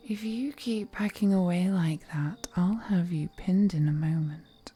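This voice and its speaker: Woman playing a slow, breathy, seductive vampire lady. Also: medium pitch, full character voice. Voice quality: breathy, half-whispered. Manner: breathy, seductive, slow murmuring with soft hums. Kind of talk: intimate audio roleplay acting.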